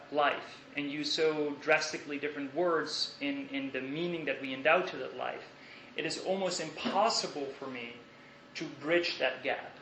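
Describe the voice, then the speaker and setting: A young Dutch man giving an academic lecture in a lecture hall, thoughtful and measured, with hesitations and restarts. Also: on the high side, pushed and bright. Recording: echoey lecture hall, faint hiss.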